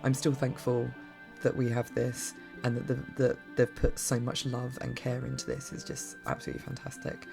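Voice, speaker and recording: Croaky, hoarse woman rambling happily. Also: a bass voice, little pitch movement, normal speed, music in the background. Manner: rambling, gushing, many restarts. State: croaky from a bad cough. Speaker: game reviewer. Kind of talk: casual spoken review.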